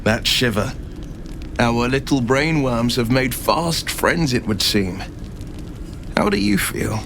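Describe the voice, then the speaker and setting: An actor playing a gallant, eloquent monster hunter in a fantasy game, with a florid, measured storytelling manner. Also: male voice, mid-range voice, expressive, gravelly, over music. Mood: thoughtful, assured. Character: gallant, eloquent male monster-hunting adventurer hero.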